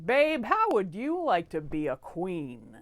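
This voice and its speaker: A woman's voice: medium pitch, huge pitch swings, slow pace. A woman voicing a spoiled, greedy, vindictive rich duchess in a theatrical, whiny, demanding way for a comic fairy tale. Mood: coy, wheedling.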